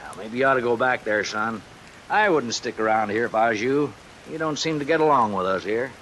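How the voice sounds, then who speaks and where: An actor as a folksy older small-town constable, hissy old radio. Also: male voice, medium pitch, a little fast, a bit bright, natural voice, poor audio. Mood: lecturing, wry. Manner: folksy, paternal, condescending. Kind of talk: 1950s radio drama acting. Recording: hissy old radio recording under rain sounds.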